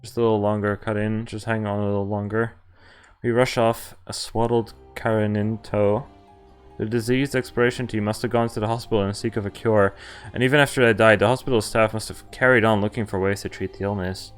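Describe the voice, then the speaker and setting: A man's voice: on the low side, flat delivery, brisk, clean tone, faint music behind. A man streaming a visual novel, reading the game text aloud and breaking off into casual asides and reactions. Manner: reacts with asides between read lines.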